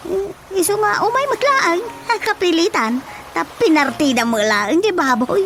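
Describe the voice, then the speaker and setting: An actress playing a chatty, gossipy village woman in an Ilocano radio drama comedy, with an Ilocano accent and an animated, familiar delivery. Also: very high-pitched, measured pace, noisy background, full character voice.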